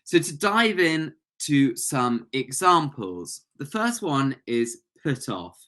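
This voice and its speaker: A man's voice: medium pitch, sing-song, slow pace, bright, forceful tone. Man teaching English online. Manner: encouraging, praising students' answers. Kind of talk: online language lesson, explaining and prompting students. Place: online webinar call.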